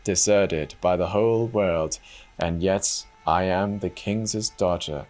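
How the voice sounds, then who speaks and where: A man reads the part of a sorrowful young princess living as a goose girl. The delivery is slow, solemn and lamenting, in storybook style over soft music. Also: sits low. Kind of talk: dramatic storybook reading.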